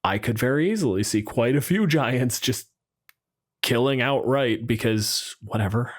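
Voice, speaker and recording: A man talks as a podcast co-host in loose, conversational style. He is wry and profane, with a mid-pitched voice. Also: measured pace. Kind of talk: casual chat about tabletop game monsters.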